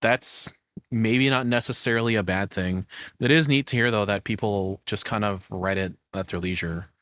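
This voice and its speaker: A man with a lowish voice reacts in casual, unscripted commentary. He talks informally, like a streamer, and the sound is very dry with no room echo. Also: moderate pace, fairly bright tone. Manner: informal, freely swearing.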